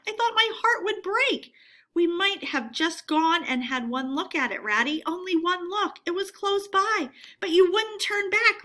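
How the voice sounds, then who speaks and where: A woman's voice: very high voice, bright, forceful tone, pitched above the performer's own voice, heavily acted. A woman voicing a timid, homesick male mole in a storybook, plaintive and sobbing as he pleads. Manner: sobbing, plaintive, broken delivery.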